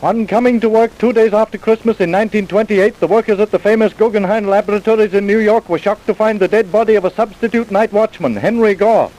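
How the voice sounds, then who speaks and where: An actor narrating an old radio crime drama in an emphatic, declamatory manner. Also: male voice, high-pitched, fast talker, few pauses, darkish tone, close to an everyday voice, rough recording. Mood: grave, emphatic.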